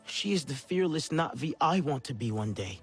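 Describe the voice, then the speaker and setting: A young alien hunter, kinda high voice, unsure of himself, game cutscene with music going. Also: male voice, animated, normal speed. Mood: earnest. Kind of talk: dramatic video game acting.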